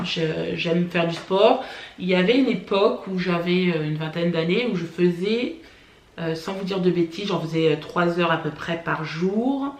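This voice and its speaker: A woman born in France, telling personal stories to camera for her family vlog in a chatty way, often pausing on "euh" and "voilà". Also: fairly low pitch, reverberant. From France (born in Paris). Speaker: family vlogger. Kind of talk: casual personal storytelling to camera.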